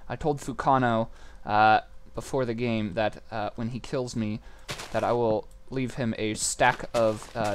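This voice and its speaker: A young man talking over a Minecraft game he is playing, a bit sick with a scratchy throat, rambling with lots of fillers. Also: on the low side. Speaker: gaming channel host. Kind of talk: casual Minecraft commentary.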